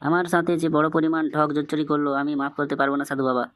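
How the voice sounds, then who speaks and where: A man's voice: a little high, level tone, very fast, fairly bright tone, no echo, natural voice. A man voicing a hot-headed but devout middle-aged village father in a Bengali comedy cartoon. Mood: indignant.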